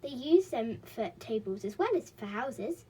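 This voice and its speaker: A woman's voice: a little high, big pitch swings, measured pace, warm tone, breathy edge, full character voice, poor audio. A woman reading aloud and voicing an eager young girl in a children's storybook. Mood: delighted.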